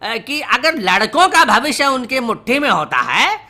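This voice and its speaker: A woman's voice: a little high, sing-song, unhurried, sharp tone, exaggerated voice. A woman voicing an easily exasperated, scolding male schoolteacher in a comedy cartoon. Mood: flustered, scolding. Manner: exasperated, stammering when flustered. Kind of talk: Hindi comedy cartoon dialogue.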